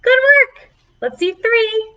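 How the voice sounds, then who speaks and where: A woman children's librarian leading a preschool storytime online, encouraging and animated as she talks to young children. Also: very high-pitched, slow pace, distant mic. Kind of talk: preschool singalong and chat to children. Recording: dull, lo-fi online video audio.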